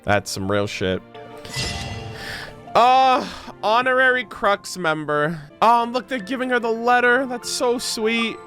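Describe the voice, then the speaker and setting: A man streaming a video game, giving informal, slangy, expressive commentary and reading game text aloud. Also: very high-pitched, slow pace, faint music behind.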